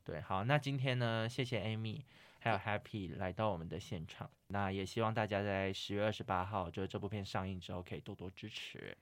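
A man's voice: lowish voice, steady tone, brisk, no echo. A man with a Taiwanese Mandarin accent hosting a podcast, chatting casually about film. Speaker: podcast host.

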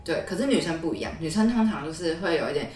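A woman's voice: sits low, animated, fast, a little mellow. A woman with a Taiwanese Mandarin accent, chatting casually as a podcast co-host. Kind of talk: casual chat. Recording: roomy echo, distant mic, music.

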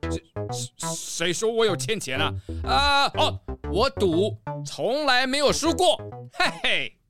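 A cocky fox sea captain for a children's audio drama. The delivery swings widely in pitch, goading and blustering like a keen gambler, with music underneath. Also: sing-song, takes it slowly. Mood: defensive bluster.

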